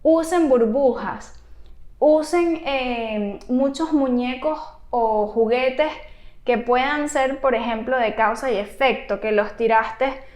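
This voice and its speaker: A woman speaking as a therapist who gives advice to parents in an explanatory, animated and emphatic way. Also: on the high side, slow pace. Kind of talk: explanatory video advice to camera. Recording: roomy, echoey room sound.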